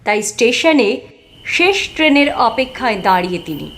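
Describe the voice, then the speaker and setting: A woman's voice: a little high, very animated, normal speed, a bit bright, slight background noise. A woman narrating a scripted cartoon story as the storyteller.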